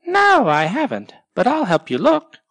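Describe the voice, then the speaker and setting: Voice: mid-range voice, huge pitch swings, unhurried, a bit bright, no room sound, voice pushed up, heavily acted. A narrator voicing an eager, helpful little animal friend in a children's storybook, emphatic and keen.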